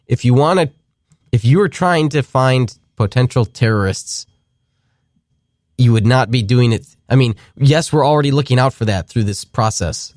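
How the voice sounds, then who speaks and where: A man's voice: medium pitch, darkish tone, no echo, studio quality. A man hosting a talk podcast, speaking casually with many fillers and restarts. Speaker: podcast host. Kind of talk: casual talk show commentary.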